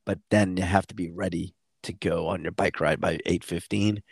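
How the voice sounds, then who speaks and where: A man talking casually as a coach and co-host, halting and often rephrasing mid-sentence. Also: low voice, fairly even intonation, creaky, slightly rough, no echo, clean studio sound. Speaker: endurance coach and podcast co-host. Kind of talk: casual conversational podcast talk.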